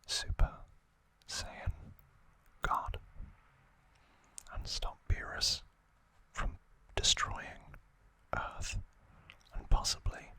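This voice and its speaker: A man hosting ASMR trivia in a breathy, unvoiced whisper, speaking in drawn-out phrases with frequent pauses, close to the microphone. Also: sharp tone, no room sound, rough recording. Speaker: ASMR host.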